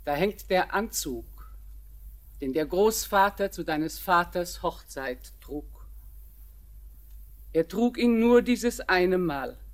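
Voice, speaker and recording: A kindly old grandmother in a classic radio play. Each word comes very slowly and deliberately. Her voice is calm and steady. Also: fairly low pitch. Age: older. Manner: measured, deliberate.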